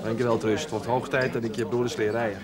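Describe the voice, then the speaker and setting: A man's voice: medium pitch, fairly even intonation, fast talker, over a noisy crowd, close to an everyday voice. A male actor playing a good-humoured, plain-spoken village man in naturalistic television drama. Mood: amused.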